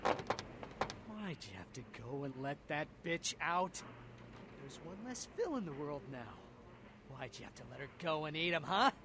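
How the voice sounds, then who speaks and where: A man playing a bitter, petulant blood dealer in a video game, with a creaky voice and whiny, scolding delivery. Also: on the high side, big pitch swings, unhurried, noisy background. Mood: petulant, aggrieved. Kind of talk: exaggerated video game character acting.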